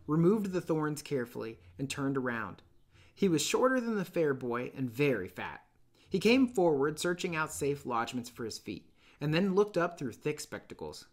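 A man in his thirties teaching and reading aloud in an expressive, emphatic, explanatory way. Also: a little high. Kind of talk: explanatory lesson and storybook narration. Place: small dim room indoors.

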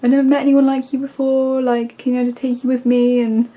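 A woman's voice: high voice, level tone, brisk, warm tone. A young English woman in her twenties chatting casually to a handheld camera in her bedroom, congested and rambling with lots of ums and likes. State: congested, stuffy nose. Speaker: vlogger. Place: bedroom at home. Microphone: camera held at arm's length.

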